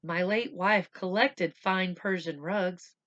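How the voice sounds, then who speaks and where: Woman as kindly old male doctor, storybook reading, hearty, emphatic, bright voice. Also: takes it slowly, lo-fi sound. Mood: kind, cheerful. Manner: emphatic, booming declarations.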